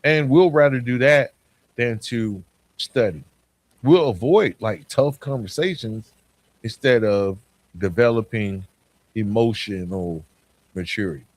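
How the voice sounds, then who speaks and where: A man hosting a motivational podcast monologue, speaking in a deliberate, emphatic way and putting questions to the listener. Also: fairly low pitch, dry recording. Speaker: podcast host.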